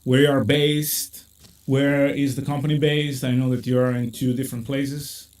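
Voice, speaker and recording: Italian-accented male host, live-stream chat, animated and chatty, filler-heavy. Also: moderate pace. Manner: animated, many fillers, pitch jumps when excited. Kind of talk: casual video-call chat. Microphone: video call.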